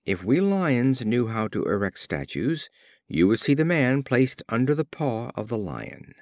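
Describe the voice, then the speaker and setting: A man voicing a proud talking lion in a fable, retorting coolly in a measured, pointed way. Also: mid-range voice, very animated, no echo, clean studio sound.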